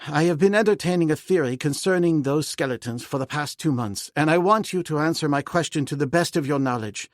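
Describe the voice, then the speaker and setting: A man reading an audiobook, voicing a calm, decisive young man raised in the jungle who speaks plainly. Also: a little high, fairly quick, dry recording, studio quality, voice pushed up, lightly acted. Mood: probing. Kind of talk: audiobook character voice.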